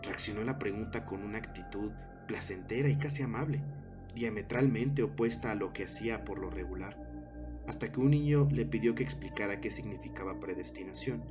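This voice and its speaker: A man narrating a scripted horror story in a measured, dramatic storytelling manner. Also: lowish voice. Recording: dull sound under loud music bed. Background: loud background music.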